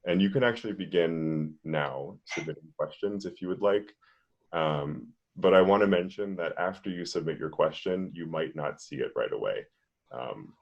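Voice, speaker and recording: A man moderating an online panel over a video call, explaining things steadily with frequent "um"s. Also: very deep voice. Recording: muffled, lo-fi video call audio.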